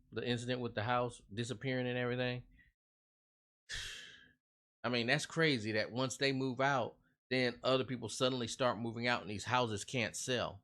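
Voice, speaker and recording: A man's voice: medium pitch, a bit bright. A man hosting a reaction video, chatting casually and earnestly to his viewers, rambling and often checking in with them. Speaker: reaction channel host. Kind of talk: casual talk to viewers after a scary-story reaction.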